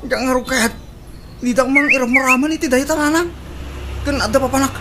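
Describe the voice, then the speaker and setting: High-voiced grumbling Filipino woman in an acted role. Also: steady tone, fairly quick, background noise, natural voice. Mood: reluctant, grumbling. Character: reluctant, grumbling sibling. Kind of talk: Ilocano radio drama acting.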